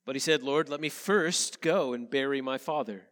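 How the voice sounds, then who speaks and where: A male pastor, a little nasal from a head cold, reading scripture and preaching in an earnest, measured way. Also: mid-range voice, a bit bright, long reverb tail. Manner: earnest, measured preaching with slow emphatic phrases. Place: church sanctuary.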